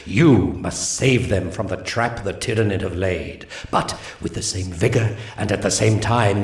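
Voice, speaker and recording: A man playing a solemn alien seer in a video game, delivering grandiose, archaic briefings to warriors with prophetic gravity. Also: sits low. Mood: grave, prophetic. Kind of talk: scripted game mission briefing. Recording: roomy echo, distant from mic.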